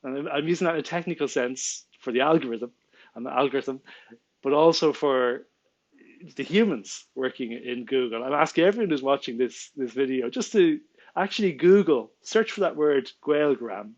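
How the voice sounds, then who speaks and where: A man's voice: mid-range voice, animated, normal speed, a little mellow, lo-fi sound. A man in his forties with an Irish accent, an Irish native speaker, venting his frustration straight to camera from a small room at home, stumbling over his words and laughing in disbelief. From Ireland. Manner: exasperated, restarts often, laughs in disbelief, gestures with hands. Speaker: vlogger and small business owner. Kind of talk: indignant direct-to-camera rant.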